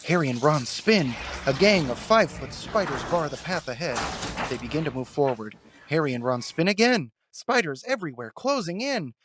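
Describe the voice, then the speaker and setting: A man delivering dramatic scripted narration with suspenseful, deliberate phrasing. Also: fairly high pitch, very animated, noisy background.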